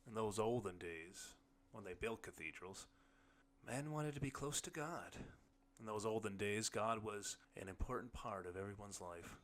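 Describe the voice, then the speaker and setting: Audiobook role: male reader as unsure everyman, halting and fumbling for words, bright forceful voice. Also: fairly low pitch, lightly acted. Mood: fumbling, earnest. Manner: hesitant, fumbling, searching for words. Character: awkward, unsure ordinary man struggling to explain. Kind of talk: audiobook character dialogue.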